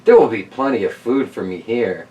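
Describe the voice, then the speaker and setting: A man's voice: lowish voice, lively intonation, normal speed, warm tone, far from the mic, lightly acted. A man voicing a polite, cunning young traveller, tired and hungry, in a storybook. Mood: hopeful.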